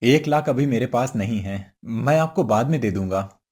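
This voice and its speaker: A woman voicing a worried, humble older father who talks in a pleading, apologetic way, her voice lowered far below her own. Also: expressive, normal speed, darkish tone, breathy edge, heavily acted.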